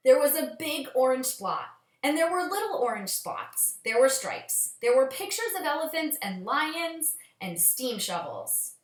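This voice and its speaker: A woman reading a children's storybook aloud as a storyteller, with expressive, measured phrasing. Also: high-pitched. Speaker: storyteller, teacher. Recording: echoey room.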